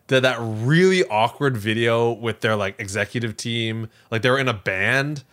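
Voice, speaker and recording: A man co-hosting a casual tech chat in an animated way, often acting out imagined quotes. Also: medium pitch, normal speed. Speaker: podcast co-host.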